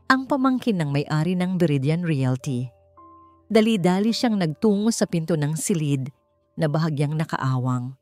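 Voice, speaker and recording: Low-voiced woman narrating romance over music. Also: a little mellow. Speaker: story narrator. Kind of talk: dramatic scripted narration of romance fiction. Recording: music bed under the voice.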